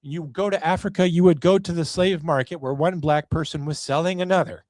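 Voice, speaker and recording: American man, political podcast host, slightly high voice, sarcastic and profane, very dry sound. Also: steady tone, brisk, a little mellow, dry recording. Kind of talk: opinionated political monologue.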